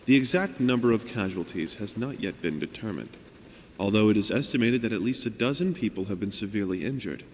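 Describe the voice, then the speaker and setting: A man voicing a formal newspaper reporter in a horror game, narrating articles in a measured, theatrical way. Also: fairly low pitch, expressive, close to an everyday voice. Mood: grave reporting. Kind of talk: scripted dramatic news-style narration. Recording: long hall reverb, faint background noise.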